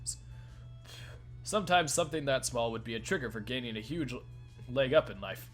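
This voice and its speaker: A man's voice: medium pitch, lively intonation, fairly quick, soft background music. A man hosting a let's play, reading a visual novel's narration aloud and joking profanely with friends. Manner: laughs often, casual and profane between lines.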